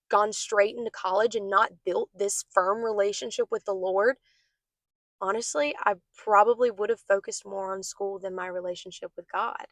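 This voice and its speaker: Hoarse young woman, earnest devotional talk. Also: mid-range voice, no room sound. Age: young adult. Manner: earnest, encouraging, speaks straight to viewers. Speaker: host of a faith channel. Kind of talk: casual devotional talk to camera.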